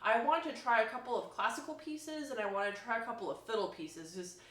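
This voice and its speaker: A woman's voice: on the high side, fairly quick. A woman giving a casual review as a demonstrating musician, informal and thinking aloud as she goes. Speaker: violinist demonstrating instruments. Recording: echoey room.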